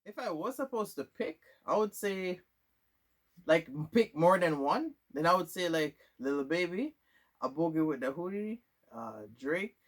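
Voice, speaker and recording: A man's voice: on the high side, animated, unhurried. A young man from Jamaica, answering questions about himself in a loose, rambling vlog monologue full of fillers and restarts. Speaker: vlogger. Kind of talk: casual Q&A monologue.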